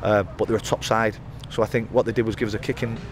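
A man in his thirties, a football manager giving a post-match interview outdoors by the pitch at night, talking in rambling runs full of fillers and restarts. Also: little pitch movement, fast talker, over music. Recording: outdoor interview with loud background noise.

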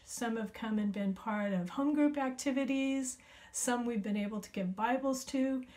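A woman in her fifties from the United States, a missionary recording a clear, gentle video message for children from a small room at home. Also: on the high side. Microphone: webcam at desk.

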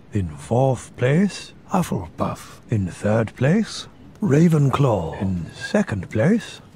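A wise old headmaster of a wizard school in a video game. He speaks very deliberately and grandly, with wide swings of pitch and long pauses, over faint ambient noise. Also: a little mellow. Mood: solemn. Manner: stately, deliberate, with weighty pauses. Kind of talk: ceremonial speech in a video game cutscene.

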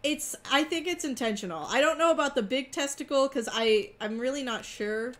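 A woman streaming a co-op horror game, calmly explaining tactics and guiding her partner. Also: high voice, normal speed. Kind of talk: casual gameplay chat.

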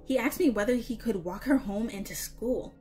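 Woman playing a sassy confident teen girl, gossipy n wry, comic dub with soft music behind. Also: a little high, moderate pace, lightly acted. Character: sassy, confident teenage girl, exasperated best friend. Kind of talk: animated-style comic dub acting.

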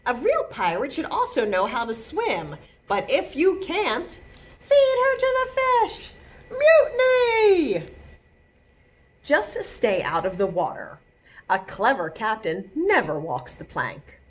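A cheeky kid from a storybook pirate crew, voiced by a woman. The voice is high and swoops around, eager and exaggerated. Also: big pitch swings, takes it slowly, full character voice. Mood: taunting.

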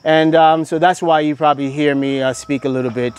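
Middle-aged American man, Miami accent, slightly high voice, thoughtful farmer being interviewed outdoors on a lapel mic. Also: fairly even intonation, a little mellow, clean tone, no room sound. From Miami, Florida. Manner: measured, reflective, philosophical. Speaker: organic farm owner, interviewee. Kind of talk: casual interview. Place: outdoors on a farm, beside a water tank.

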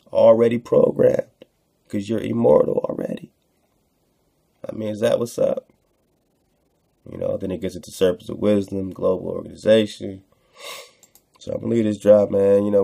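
A man's voice: low voice, vocal fry, dark tone. A man reading aloud from a text and commenting on it as a show host, in a casual, slangy manner that repeats phrases for emphasis. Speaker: host of an online radio show.

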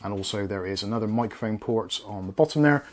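A man's voice: lowish voice, fast talker. A man in his forties with an English accent, giving a casual, matter-of-fact product review as a channel host. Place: small home studio room.

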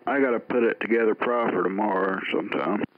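A man's voice: on the low side, fast talker. A man chatting casually over CB radio on a handheld microphone. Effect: CB radio transmission. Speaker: CB radio operator. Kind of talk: casual two-way radio chat. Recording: tinny, noisy CB radio transmission.

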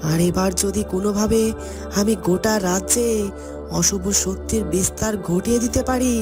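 A woman playing a boastful, gloating evil sorcerer in a fairy-tale cartoon, speaking deliberately with emphatic repetition. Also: mid-range voice, music in the background, close to an everyday voice.

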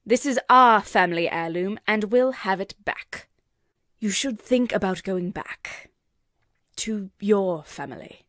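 A woman's voice: mid-range voice, lively intonation, slow pace, a bit bright, dry recording. A woman playing a proud, protective queen and mother in a cartoon comic dub. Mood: stern. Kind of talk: fan comic dub voice acting.

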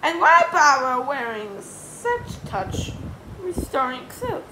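A woman voicing a dazed, giddy female office worker in a children's story, drawn-out and wondering. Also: high voice, big pitch swings, echoey room, noisy background, voice pushed up, full character voice.

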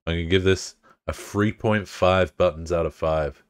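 A man's voice: low voice, steady tone, normal speed, smooth, no echo, clean studio sound. A young man streaming a game, chatting casually with lots of swearing, sounding a bit bunged up. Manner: profane, laid-back banter with chat. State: congested, bunged up. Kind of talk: casual live-stream chat.

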